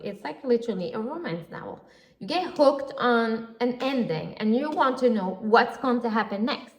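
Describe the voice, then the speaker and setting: Woman, podcast host, casual gushing monologue, mid-pitched, echoey room. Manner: chatty and gushing, with frequent fillers and laughs. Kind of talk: casual solo podcast chat. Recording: roomy echo.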